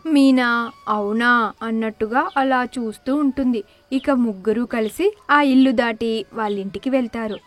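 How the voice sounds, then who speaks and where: A woman's voice: a little high, measured pace. A woman narrator reading a scripted moral story.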